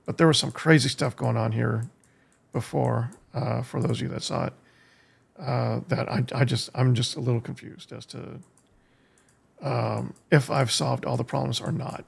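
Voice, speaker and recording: An American man streaming a game, giving casual live commentary in a halting way as he thinks aloud, with a gravelly, husky voice. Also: mid-range voice, steady tone. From United States. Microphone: streaming desk microphone.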